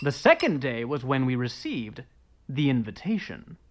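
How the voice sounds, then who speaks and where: An actor playing a boastful, naive young French viscount in an audio drama, theatrical and full of exclamations. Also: male voice, mid-range voice, wildly swooping pitch, moderate pace, very noisy. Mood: talkative. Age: young adult.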